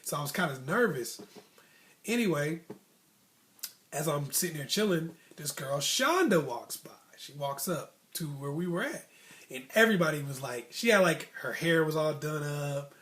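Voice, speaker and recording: A man's voice: fairly high pitch, moderate pace. An American man telling a personal story casually, in slangy speech, acting out bits of dialogue as he goes. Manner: animated slangy talk, acting out childhood dialogue. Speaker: storyteller host.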